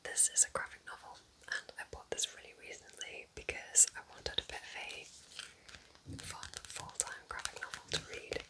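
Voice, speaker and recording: Whispering woman, breathy ASMR book chat. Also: normal speed, low-quality recording. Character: kind witch welcoming a visitor to her cabin. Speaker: ASMR roleplay host.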